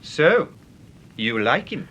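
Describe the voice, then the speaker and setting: Radio drama role: formal, precise male investigator, average pitch, deliberate and contrary. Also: big pitch swings, pushed and bright, breathy edge, dull audio, full character voice. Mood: inquiring. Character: dissenting, precise investigator, Mister Kaufman. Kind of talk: radio mystery drama acting.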